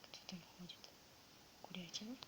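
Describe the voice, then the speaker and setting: A woman with a sore throat, slightly ill, quietly muttering a casual commentary on the game she is playing. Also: lowish voice, normal speed. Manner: quiet, half-whispered muttering to herself. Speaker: gamer narrating her play. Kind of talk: casual let's-play commentary. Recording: loud background noise.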